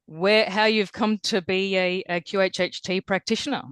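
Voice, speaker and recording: A woman's voice: medium pitch, fairly even intonation, normal speed, clear, resonant tone, dry recording. A woman hosting an interview who welcomes her guest and encourages her with short reactions. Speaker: interview host.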